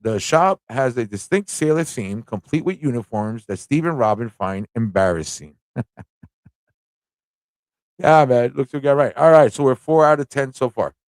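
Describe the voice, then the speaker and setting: A man's voice: mid-range voice, expressive, normal speed, darkish tone, no echo. A man hosting a casual live-stream trivia show, chatty and playful, often thinking aloud to his viewers. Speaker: host of a live pop culture show.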